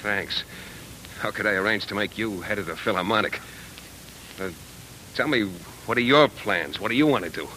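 Forceful, low-pitched, touchy struggling musician. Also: male voice, normal speed, pauses often. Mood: warmer, proud. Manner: cynical, defensive, earnest. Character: skinny, proud, touchy young struggling New York violinist. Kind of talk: 1940s radio acting. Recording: noisy old radio broadcast with rumble.

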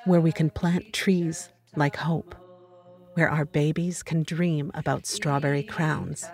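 A woman giving a measured, reflective reading of a personal essay on a close studio mic. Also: low-pitched, steady tone, darkish tone. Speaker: reader of an essay.

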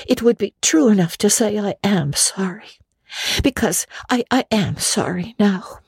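A woman voicing an earnest, imaginative young girl in a storybook reading, halting and full of feeling. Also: mid-range voice, expressive, gravelly, darkish tone, husky, no room sound, studio quality, pitched above the performer's own voice, full character voice. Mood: earnest, ashamed. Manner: halting, repeats words for emphasis.

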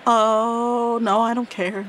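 A woman's voice: a little high, level tone, slow, a bit bright, a little hiss or hum, low-quality recording. A woman in her twenties co-hosting a casual science podcast, explaining things playfully with lots of filler words. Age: 20s. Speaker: podcast co-host.

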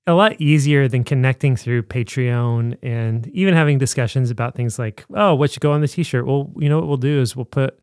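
A man co-hosting a chatty podcast, making an upbeat pitch to listeners at a middling pitch. His voice is dry and close, with no room sound around it. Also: brisk, hardly any pauses, a little mellow, smooth, dry recording. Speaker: podcast co-host. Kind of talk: casual podcast chat.

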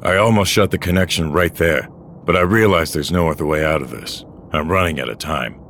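An actor playing a weary, bitter old courier, a gruff and sardonic loner, in a noir science-fiction audio drama. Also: male voice, extremely low pitch, fairly bright tone, slight background noise. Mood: weary, resolute. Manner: brooding, world-weary, sardonic. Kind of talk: first-person noir audio drama acting.

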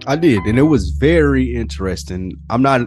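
A man's voice: mid-range voice, lively intonation, normal speed, darkish tone. A man co-hosting a podcast in casual, slangy conversation, often repeating phrases for emphasis. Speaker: podcast co-host. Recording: music behind the voice. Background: music.

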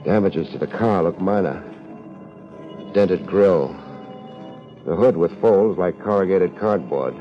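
A hard-boiled private detective, wry and laid-back, in a fairly low voice. He talks in slangy wisecracks, as in an old 1950s radio drama. The recording is dull, with music behind him. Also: a little slow, long pauses. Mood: wry narrating.

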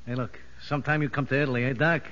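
An actor playing a gruff but big-hearted Italian gangster convict in rough slangy talk, in a 1950s radio drama. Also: male voice, mid-range voice, steady tone, very fast, a bit bright, natural voice. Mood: gruffly affectionate. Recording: dull vintage 1950s radio recording.

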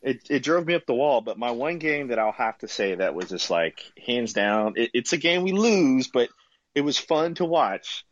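A man's voice: medium pitch, very animated, moderate pace. A man chatting casually about sports as a fan on a podcast panel, with slightly dull audio.

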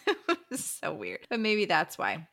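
A woman's voice: lowish voice, wildly swooping pitch, unhurried, fairly bright tone. A woman co-hosting a podcast, chatting casually in a playful way with dramatic reactions. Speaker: podcast co-host. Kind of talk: casual chat about books.

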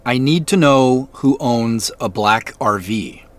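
An actor playing a remorseful, self-doubting man in an audio drama, muttering to himself in a halting, husky voice with sighs. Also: mid-range voice, lightly acted. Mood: hesitant, uneasy. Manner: halting, sighing, self-reproachful. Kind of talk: naturalistic audio drama acting.

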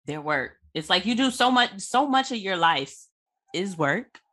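A woman's voice: mid-range voice, animated, a little slow, fairly bright tone. A woman hosting a casual chat interview, informal and affirming, with colloquial phrasing. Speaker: podcast host, interviewer.

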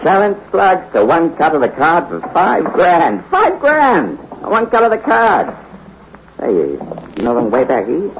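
A man's voice: on the high side, wildly swooping pitch, unhurried, full character voice. An actor playing a talkative, nosy small-town gambling man in an old-time radio drama, slangy and folksy. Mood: amazed. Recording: dull, noisy old radio recording.

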